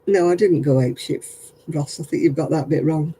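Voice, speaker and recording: A man's voice: on the high side, fairly quick, dark tone, slightly rough. A man chatting casually with viewers while crocheting on a livestream, self-mocking and laughing often. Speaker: craft livestream host.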